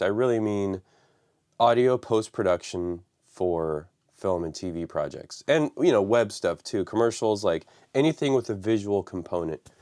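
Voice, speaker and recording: A man hosting a live stream from his home studio, raspy from the flu and talking loosely with many fillers. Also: fairly low pitch, darkish tone. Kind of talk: casual live stream talk.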